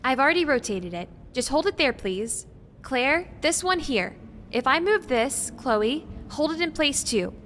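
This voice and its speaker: A woman's voice: high-pitched, big pitch swings, a little slow, a bit bright, heavily acted. A woman playing a careful, bookish young researcher in an audio drama, earnest and precise, hesitant when unsure. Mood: focused. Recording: faint background noise.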